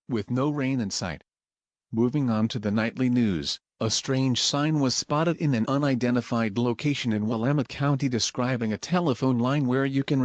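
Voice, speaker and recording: Man acting local news anchor, medium pitch, composed, scripted broadcast delivery, no room sound. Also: a little mellow, no echo, close to an everyday voice.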